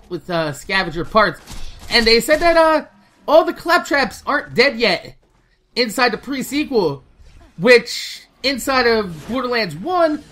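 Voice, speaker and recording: Bright, high-voiced woman gaming host. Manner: animated, informal, swears freely. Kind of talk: casual excited game news commentary.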